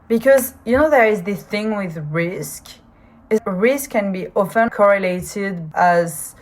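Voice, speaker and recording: A woman's voice: mid-range voice, slow. A woman from France with a strong French accent, hosting her own podcast in an earnest, hesitant way, often restarting her sentences. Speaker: podcast host. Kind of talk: casual solo podcast monologue.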